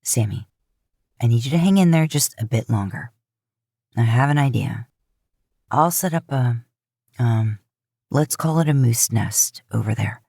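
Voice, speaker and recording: A woman voicing a protective adult man in an audiobook story, low, coaxing and casually wry as he soothes his brother. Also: expressive, unhurried, gravelly, long pauses, dark tone, breathy edge, no echo, clean studio sound, full character voice. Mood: steady, reassuring.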